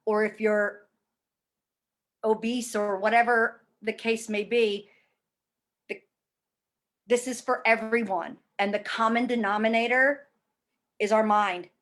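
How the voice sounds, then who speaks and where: A woman in her forties speaks slowly and with weight in a fairly high voice. She is blunt and emphatic and swears casually. She tells her own story in a candid, conversational interview. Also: fairly even intonation, fairly bright tone. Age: 40s. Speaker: fitness program client.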